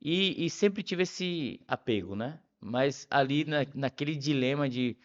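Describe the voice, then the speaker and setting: A man's voice: mid-range voice, measured pace. Middle-aged Bahian man, slightly muffled audio. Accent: Bahian Brazilian Portuguese. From Salvador, Bahia, Brazil. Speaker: podcast guest, physiotherapist. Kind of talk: casual conversational storytelling.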